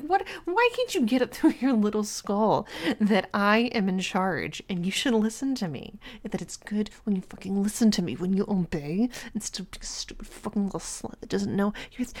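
A woman acting out a bossy, scolding young girlfriend in an audio roleplay, slipping between hushed whispers and loud outbursts and laughing mockingly as she talks. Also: mid-range voice. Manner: frequent laughter while talking.

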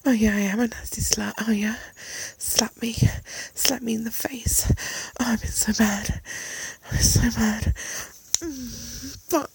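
A woman performing an intimate audio roleplay in a breathy, pleading, teasing voice. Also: fairly high pitch, fairly even intonation, unhurried, dry recording.

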